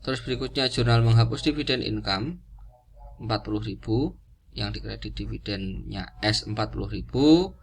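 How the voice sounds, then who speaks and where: A man's voice: on the low side, normal speed, gravelly, slight background noise. A man giving an unscripted lesson, explaining step by step in a didactic way and repeating key figures. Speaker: accounting lecturer.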